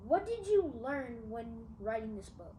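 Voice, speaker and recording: A child of about ten asking prepared interview questions and reacting in an earnest, halting way. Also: mid-range voice, big pitch swings, mellow. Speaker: student interviewer. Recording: echoey, distant mic, noisy room.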